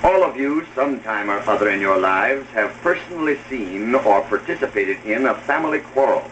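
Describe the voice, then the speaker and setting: A man's voice: mid-range voice, expressive, normal speed, fairly bright tone. A man giving scripted advice as a host in a formal, oratorical 1930s radio style. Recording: dull, noisy old film soundtrack.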